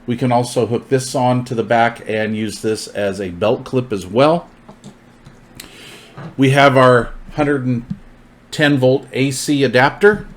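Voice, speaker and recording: A man's voice: medium pitch, fairly even intonation, measured pace. Male product reviewer, mid-range voice, informal and plainspoken, walking through features. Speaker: product reviewer presenting gear. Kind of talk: informal product overview, partly listing features.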